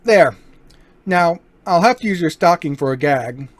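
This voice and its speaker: A suave gentleman burglar, acted by a man in an old-time radio crime drama. He taunts with unhurried, mock-courteous politeness and quiet menace. His pitch swings widely, recorded dry. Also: expressive, no room sound. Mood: threatening.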